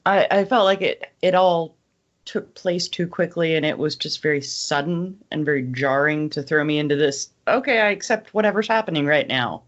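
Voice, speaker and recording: A woman's voice: deep voice, normal speed. Skeptical woman on a slightly muffled podcast. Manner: plain-spoken, skeptical. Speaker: podcast co-host. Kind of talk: casual fan discussion.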